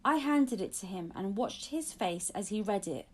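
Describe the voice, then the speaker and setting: A woman's voice: mid-range voice, lively intonation, moderate pace, a little mellow. A woman reading a children's audiobook aloud, telling the story expressively in the first person. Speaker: audiobook reader.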